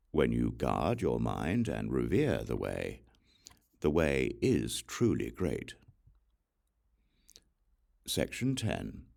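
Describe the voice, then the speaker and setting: Very deep, slow, gravelly man reading. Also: darkish tone. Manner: measured, deliberate, solemn delivery. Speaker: audiobook reader. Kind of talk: scripted scripture reading.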